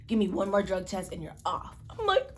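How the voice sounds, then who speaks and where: A young woman from Malawi talks through a personal story in a relaxed vlog and acts out what other people said. Her voice sits at a middle pitch. A faint hum of room noise runs behind her. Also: fairly quick. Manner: chatty, expressive, acts out quotes with pitch jumps. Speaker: vlogger. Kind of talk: casual storytime vlog while eating.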